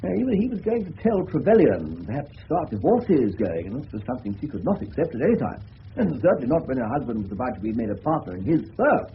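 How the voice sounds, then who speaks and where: An actor playing a genial, avuncular English police detective in a radio drama, courteous and explanatory with a slightly hesitant delivery. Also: male voice, medium pitch, sing-song, quick pace. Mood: explanatory, relishing. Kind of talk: 1970s British radio drama acting. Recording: muffled old radio recording with hiss.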